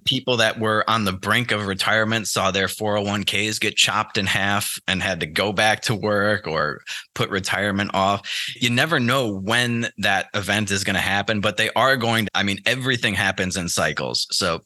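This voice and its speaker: Very bright, low-pitched man. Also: normal speed, no echo. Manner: informal, opinionated, conversational. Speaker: podcast guest, trading teacher. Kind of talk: casual interview chat. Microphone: close mic on an internet call.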